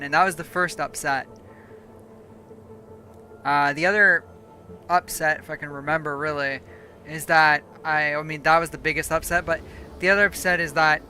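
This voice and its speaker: A woman's voice: sits low, bright, forceful tone. A woman streaming a game, chatting casually and rambling, correcting herself as she goes, her voice starting to go. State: losing her voice. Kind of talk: casual live gameplay chat.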